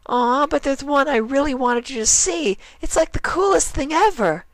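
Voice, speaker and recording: Very high, bubbly pony mare. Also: male voice, expressive, normal speed, fairly bright tone, no room sound, heavily acted, poor audio. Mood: excited. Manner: bubbly, eager, childlike. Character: scatterbrained, cheerful, innocent young mare pony. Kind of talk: fan fiction character reading.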